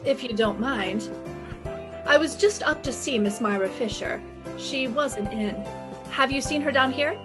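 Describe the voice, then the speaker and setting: Actress for sassy radio-play woman sleuth; confident, wry, on the high side, faint music underneath. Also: unhurried. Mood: politely probing. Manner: breezy, wry, commanding. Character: sassy, confident female private detective. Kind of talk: 1950s radio detective drama, scripted.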